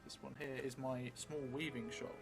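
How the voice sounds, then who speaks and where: A middle-aged man thinking aloud as he plays a solo roleplaying game, halting and trailing off as he goes. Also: medium pitch, flat delivery, vocal fry. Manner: halting, musing, trailing off as he writes. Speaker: solo tabletop roleplay streamer. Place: indoor theatre room, seated at a table. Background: music.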